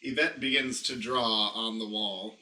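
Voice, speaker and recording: A man's voice: lowish voice, fairly even intonation, a little slow, bright, forceful tone. A man narrating improvised stage directions as the stage manager of a radio play. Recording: distant, echoey, noisy recording with clicks.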